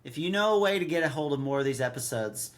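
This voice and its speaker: A man co-hosting a podcast in casual review chat, halting and repeating words as he thinks. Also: fairly high pitch, lively intonation. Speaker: podcast co-host.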